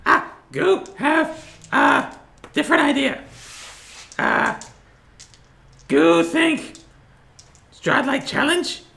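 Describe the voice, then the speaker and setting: A man voicing a dim-witted, childlike small creature in a tabletop game, with broken grammar and a creaky voice. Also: extremely high pitch, very slow, pauses often, pushed and bright, heavily acted. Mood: bargaining. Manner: broken simple grammar, refers to self by name.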